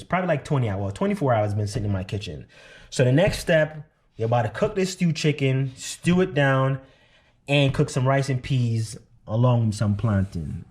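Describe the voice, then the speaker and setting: A man's voice: mid-range voice, a little mellow, reverberant. A man with a Jamaican accent, chatting to viewers about his cooking in a casual vlog style from his home. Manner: chatty, storytelling, addresses viewers directly. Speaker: cooking vlogger. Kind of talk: casual cooking show chat. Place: small apartment living room, then home kitchen.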